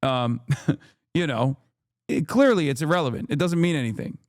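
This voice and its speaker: A man with a fairly high voice, talking close to a mic in a dry, dead room. He reacts to the news as a streamer, animated and sarcastic, laughing often and swearing freely. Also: normal speed, no echo. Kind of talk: casual live-stream commentary.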